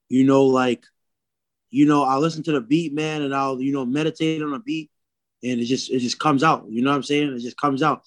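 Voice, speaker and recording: A man's voice: on the high side, fairly bright tone, no room sound. A man talking casually as an interview guest, using lots of slang and tags like "man" and "you know what I'm saying".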